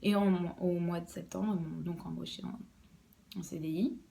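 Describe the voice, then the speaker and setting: A woman's voice: fairly low pitch, steady tone, a little slow, gravelly, dark tone. A young woman, an embroidery designer, explaining her work in a casual podcast interview, hesitant and full of fillers and restarts.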